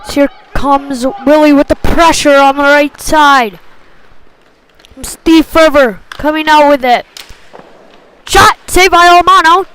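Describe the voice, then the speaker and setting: A woman with a high voice gives live hockey play-by-play. She speaks at a slow pace, in short, clipped phrases that track the play as it moves. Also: a bit bright, poor audio. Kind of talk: live sports commentary.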